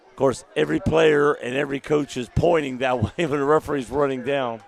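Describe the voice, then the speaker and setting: A man with a deep, gravelly voice, calling a live local high school football game in a folksy manner while recovering from a lost voice. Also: a little fast. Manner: folksy, excitable on big plays. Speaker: play-by-play announcer. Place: outdoor stadium press box. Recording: outdoor stadium broadcast.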